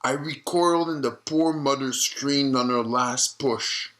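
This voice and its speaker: A haunted old doctor, played by a man, gives grave testimony in an audio drama. His delivery is slow and deliberate, with a bright, forceful edge to the voice and plenty of pauses. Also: mid-range voice, lightly acted. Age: older. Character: elderly doctor haunted by a grim memory. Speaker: retired obstetrician being interviewed.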